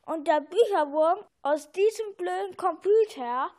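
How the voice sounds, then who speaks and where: A woman's voice: very high voice, unhurried, a little mellow. A woman voicing a small, grumpy, bossy male bookworm with a whiny, indignant manner in a children's audio story.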